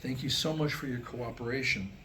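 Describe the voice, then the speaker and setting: Bright-voiced man telling jokes, noisy recording. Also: mid-range voice, a little fast. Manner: storytelling with acted-out dialogue. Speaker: joke teller at a staged script reading. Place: live staged reading in a room. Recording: noisy live room recording.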